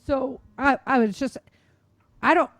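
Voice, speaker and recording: A woman's voice: sits high, a little slow, fairly bright tone, no room sound. A woman hosting a talk show, chatting informally and emphatically about practical tips and laughing easily. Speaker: podcast host. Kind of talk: casual survival-tips discussion.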